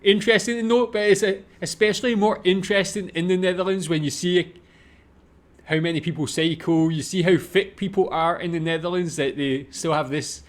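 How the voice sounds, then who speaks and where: A man's voice: sits high, steady tone, fairly quick. A man with a Scottish accent giving casual, musing off-the-cuff commentary as host of a reaction video. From Scotland.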